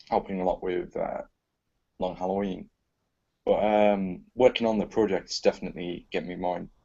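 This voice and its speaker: A man from an audio drama cast, answering in a casual panel chat in a relaxed, hesitant way. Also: low-pitched, vocal fry, slightly rough. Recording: muffled lo-fi recording.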